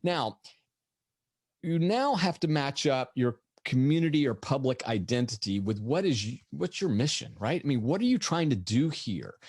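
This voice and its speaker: A middle-aged man with a slightly raspy, lecture-tired voice, giving an energetic webinar presentation full of rhetorical questions. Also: mid-range voice, normal speed, dry recording, studio quality. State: voice tired from lecturing all morning. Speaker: veterinarian presenter.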